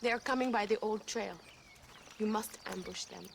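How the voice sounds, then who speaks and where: A woman's voice: mid-range voice, normal speed, vocal fry, a little hiss or hum, natural voice. An actress playing a passionate, earnest young Mexican woman revolutionary in a video game, speaking formally and emphatically with a Mexican accent. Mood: urgent, determined. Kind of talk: dramatic video game cutscene acting.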